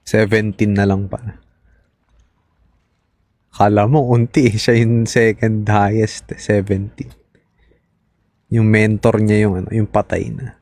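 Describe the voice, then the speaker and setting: A man's voice: lowish voice, level tone, unhurried, long pauses, a little mellow, dry recording. A man with a Filipino accent, a game streamer, chatting casually. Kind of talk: casual chat.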